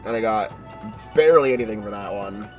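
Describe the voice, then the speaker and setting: A man's voice: fairly low pitch, fairly quick, faint music behind. A congested young man giving rambling, casual commentary while streaming a game live, often correcting himself and swearing offhand.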